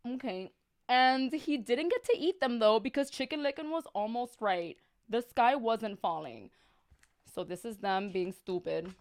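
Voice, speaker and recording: A woman storyteller hosting a comic live reading. Her voice is on the high side. She mixes narration with sassy, slangy, exasperated asides. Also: unhurried. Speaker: storyteller, live stream host.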